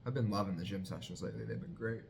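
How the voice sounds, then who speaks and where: A man's voice: fairly low pitch, rapid-fire, vocal fry, a little mellow, a little hiss or hum. Chatty man vlogging his training. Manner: chatty, self-mocking, talks to viewers as guys. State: congested, clearing throat. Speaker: fitness vlogger. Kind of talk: casual vlog narration.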